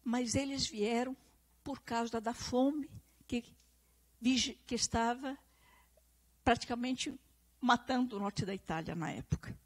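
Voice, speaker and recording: A woman's voice: on the high side, unhurried, long pauses. Earnest southern Brazilian woman lecturing. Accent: Italian-Brazilian southern Brazilian. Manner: earnest, repeats and restarts phrases, emphatic. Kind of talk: public talk to a live audience and online viewers.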